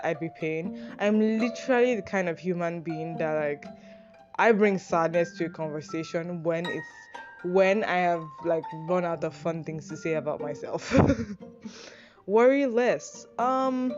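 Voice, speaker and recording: Rambling young Nigerian woman, low voice. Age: young adult. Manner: rambling, self-deprecating, frequent fillers and swearing. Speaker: podcast host. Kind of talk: casual monologue reacting to an advice list. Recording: dull lo-fi sound with music behind.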